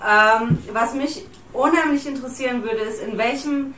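A woman's voice: on the high side, normal speed, fairly bright tone. A young adult woman chatting casually as a reviewer, talking from a sofa in a small living room. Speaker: audio drama reviewer and interviewer. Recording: roomy echo, music behind.

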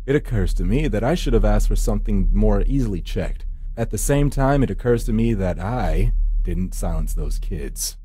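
A man's voice: fairly low pitch, lively intonation, normal speed, mellow, husky. A man reading first-person horror narration for a visual novel in a brooding, theatrical storytelling manner.

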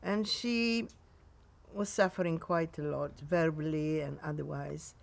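A woman in her nineties with a Polish accent, recounting memories in a halting way in a sunny garden. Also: deep voice, steady tone, vocal fry, slight background noise. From Poland. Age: 90s. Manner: halting, with frequent pauses and restarts. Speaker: interviewee.

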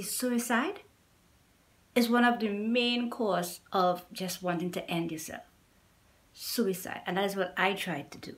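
A middle-aged woman from Trinidad and Tobago with a Trinidadian accent, sharing a personal story to camera at home in an earnest, pleading way and repeating points for emphasis. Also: mid-range voice. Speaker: YouTuber. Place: small indoor room at home.

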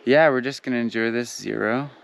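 A man vlogging casually on a hiking trip, chatting in a relaxed way with plenty of fillers, recorded outdoors at a campsite. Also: mid-range voice, fairly quick, creaky, slight background noise. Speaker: vlogger, thru-hiker. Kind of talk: casual vlog chat. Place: outdoors at a mountain tent site.

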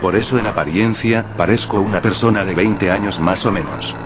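A man in his thirties, a gaming YouTuber, calmly explaining things in voice-over narration. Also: fairly low pitch, a little fast, noisy background. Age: 30s. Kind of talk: voice-over narration over gameplay footage. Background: background music.

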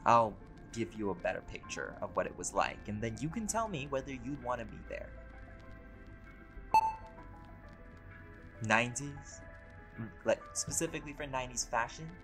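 A man's voice: mid-range voice, expressive, brisk, pushed and bright, faint music behind. A man playing a laid-back, vain, centuries-old vampire in a conversational roleplay audio drama, with casual, sweary, bantering delivery. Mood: teasing, exasperated.

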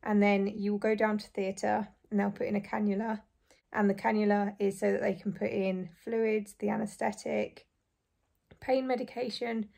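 A young woman in her twenties, hoarse and croaky after surgery, chatting casually to camera from a small bedroom. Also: medium pitch, little pitch movement, normal speed, mellow. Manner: chatty, relieved, frequent light laughs. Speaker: vlogger. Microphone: phone or camera close in front.

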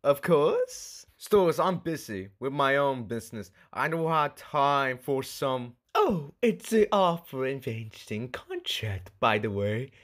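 A woman acts out an irritable imp boss for a cartoon comic dub. He is brusque and excitable, in a low voice that jumps around a lot. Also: slow pace, hardly any pauses, heavily acted. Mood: dismissive.

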